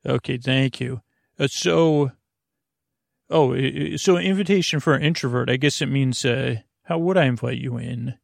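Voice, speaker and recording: Male podcast host, middle-pitched, with vocal fry. A halting, tangent-prone rambler with a playful streak, recorded dry and close. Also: dry recording. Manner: halting, tangent-prone, many fillers and restarts; playful, muses on words. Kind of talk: rambling late-night podcast intro.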